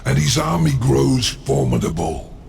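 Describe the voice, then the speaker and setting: Slow, heavily gravelled demon lord. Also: male voice, mid-range voice, big pitch swings, darkish tone, slight background noise, heavily acted. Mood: cold, commanding. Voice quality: gravelly, growling. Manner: deliberate, menacing, formal speech. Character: scheming, haughty, ancient male demon lord. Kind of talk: dramatic video game cutscene acting.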